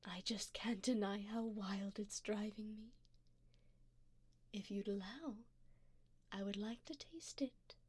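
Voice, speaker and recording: A woman voicing a doting, seductive, wealthy vampire in an intimate ASMR roleplay, speaking in a breathy near-whisper close to the mic with tender, genteel endearments. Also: mid-range voice, dry recording. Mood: hungry, seductive. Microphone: close binaural microphone.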